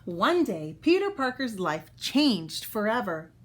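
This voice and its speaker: A woman reads a children's story aloud for young listeners. Her mid-pitched voice moves up and down in an animated, expressive way, and she adds small explanations as she goes. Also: slow. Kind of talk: children's book read-aloud.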